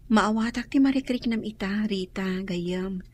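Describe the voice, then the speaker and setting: Husky, caring woman acted in a drama. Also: mid-range voice, measured pace, a little mellow, natural voice. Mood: consoling. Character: caring woman, gently consoling and advising. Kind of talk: radio drama acting.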